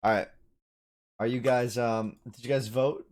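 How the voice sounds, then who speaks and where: A man's voice: medium pitch, steady tone, measured pace, a little mellow, slight background noise. A man streaming live match commentary, chatting informally with his viewers as he goes. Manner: chatty, informal, addresses chat as dude and buddy.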